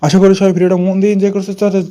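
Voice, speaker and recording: A man's voice: sits high, completely flat delivery, rapid-fire, background noise. A man with a Bangladeshi accent hosting a cartoon channel, giving an upbeat promotional address to viewers. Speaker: channel host.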